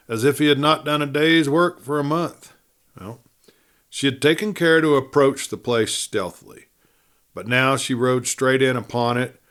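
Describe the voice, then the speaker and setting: A man narrating a scripted western story in a measured, dramatic manner. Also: medium pitch, a bit bright. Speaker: audiobook narrator.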